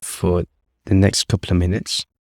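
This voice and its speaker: A man calmly leading a guided meditation as host, speaking off the cuff in short phrases with long pauses. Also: low-pitched, no echo, studio quality. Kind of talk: guided meditation, unscripted instruction.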